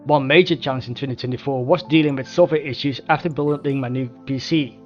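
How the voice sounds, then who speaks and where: Fast-talking man, dull lo-fi recording. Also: medium pitch, fairly even intonation, a little mellow. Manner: formal, measured wording. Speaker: mod developer. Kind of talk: scripted progress report narration. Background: faint music.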